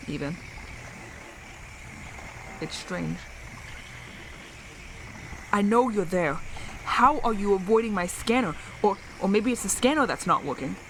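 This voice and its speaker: An actress playing a lonely, awkward survivor speaking haltingly and earnestly into a radio in an audio drama. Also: female voice, on the low side. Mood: firmer, insistent. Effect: radio transmission. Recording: noisy radio transmission with static.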